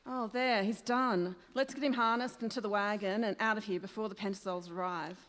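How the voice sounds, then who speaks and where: A woman's voice: mid-range voice, fairly even intonation, a little fast, a bit bright, close to an everyday voice. A woman playing a plucky, forthright newspaper worker in a radio play, earnest and outspoken. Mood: brisk, fond. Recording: echoey hall stage recording.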